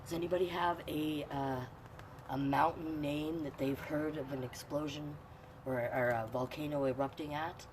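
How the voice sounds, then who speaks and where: A woman's voice: low-pitched, fairly even intonation, moderate pace. A middle-aged woman hosting a children's storytime, reading aloud and chatting through the pictures for young viewers. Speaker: online storytime host and reader. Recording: clear background noise.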